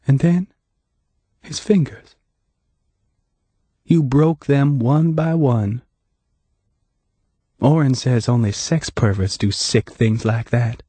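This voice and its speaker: A man voicing a young woman who speaks slowly with sadness, her voice faltering. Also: medium pitch, dark tone, slightly rough, no echo. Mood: sorrowful. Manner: sorrowful, slow, faltering. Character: sad, steady young woman confronting a killer.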